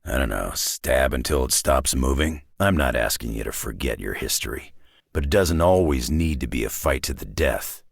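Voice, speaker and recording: An actor playing a gruff, battle-hardened older male superhero in a video game, with a raspy growl and a sardonic, terse tough-guy delivery. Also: extremely low pitch, lively intonation, moderate pace, dry recording, clean studio sound, heavily acted. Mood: gruff, reflective. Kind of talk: video game character voice lines.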